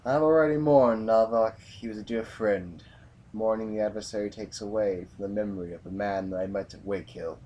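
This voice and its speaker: A man's voice: fairly low pitch, fairly even intonation, brisk, mellow, dull audio, slight background noise, close to an everyday voice. A man acting a hardened, brooding military commander with a grave, formal delivery in a science fiction audio drama. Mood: grim, brooding.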